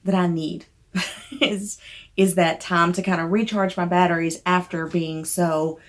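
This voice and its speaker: A Southern woman in her thirties talks straight to the camera in a loose, rambling vlog style, with a fairly low-pitched voice. A slight difficulty with the letter R surfaces now and then, and she comes across candid and a little self-deprecating. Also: fairly even intonation, a little slow. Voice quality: mild trouble saying R. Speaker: novelist vlogger. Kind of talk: casual talk to camera.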